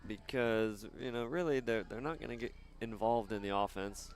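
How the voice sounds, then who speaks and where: A man doing live soccer commentary outdoors, chatting casually and haltingly between plays. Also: lowish voice. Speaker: sports play-by-play announcer.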